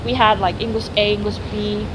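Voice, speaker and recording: A woman's voice: mid-range voice, little pitch movement, fairly quick, noisy background. A young woman from South Korea, a native Korean speaker, chatting modestly as an interview guest with many "like" and "um" fillers. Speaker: interview guest, graduate student. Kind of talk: casual interview chat.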